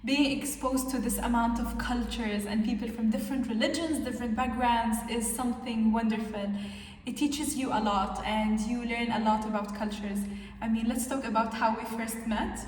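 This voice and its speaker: A woman's voice: fairly high pitch, steady tone, moderate pace, darkish tone, a little hiss or hum. A nineteen-year-old woman from Jordan chatting casually as a vlogger, upbeat and laughing often. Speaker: vlogger, international student. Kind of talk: casual chat. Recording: echoey room, mic at a distance.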